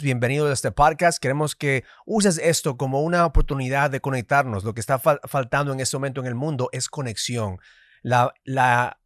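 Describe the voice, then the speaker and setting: A man with a mixed Ecuadorian and Dominican Spanish accent, co-hosting a podcast. He talks with energy, restarts words and slips in English terms. His voice is mid-pitched, recorded dry and close. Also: no room sound. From Ecuador, raised in the United States. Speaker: podcast co-host. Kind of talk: business podcast conversation.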